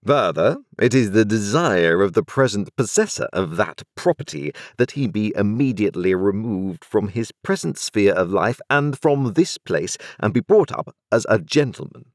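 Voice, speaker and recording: A man reads an overbearing storybook lawyer who speaks in clipped, formal phrases and presses every question like a cross-examination. His voice sits higher and louder than the deep narration around it, and it swings widely in pitch. The sound is close and dry. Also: very animated, normal speed, hardly any pauses, no echo. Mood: stern, formal. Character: brusque, overbearing middle-aged male lawyer. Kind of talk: audiobook character voice.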